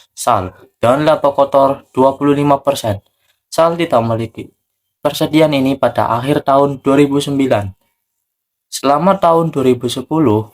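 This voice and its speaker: A young man reading out a worked example as a student presenter. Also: mid-range voice. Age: young adult.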